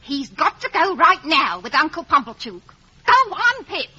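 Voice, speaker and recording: An actress playing a bullying, hot-tempered working-class wife with a scolding manner in a 1950s radio drama. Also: female voice, sits high, big pitch swings, a little slow, exaggerated voice. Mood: brisk, excited. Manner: scolding, sharp. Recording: dull vintage radio broadcast.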